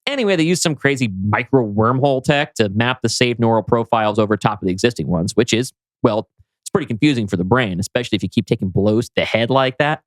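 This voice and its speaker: A man's voice: medium pitch, lively intonation, quick pace, dry recording, close to an everyday voice. A man reading the part of a breezy, slangy buddy in a science-fiction script, with a flippant manner. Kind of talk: script table read.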